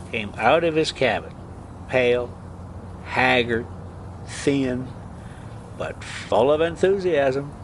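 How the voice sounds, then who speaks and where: An older man reading a classic adventure story aloud in a dramatic, deliberate way with emphatic pauses and casual delivery of asides. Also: mid-range voice, fairly bright tone, slight background noise.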